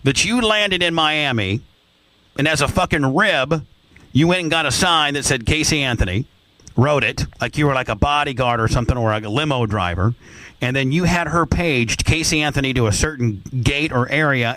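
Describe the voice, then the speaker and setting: A man's voice: medium pitch, moderate pace, a bit bright, no echo, low-quality recording. A man hosting a casual, profane comedy radio show, talking bluntly and teasing as he presses for details. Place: radio studio.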